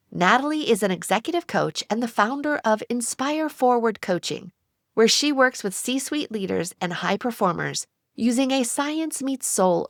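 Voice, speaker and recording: A woman hosting a career podcast, reading a measured scripted introduction and then asking interview questions. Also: a little high, dry recording, clean studio sound. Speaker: podcast host.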